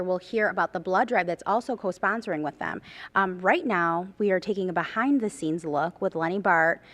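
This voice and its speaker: A woman reporting live on television in a chatty, enthusiastic interview style. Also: on the low side.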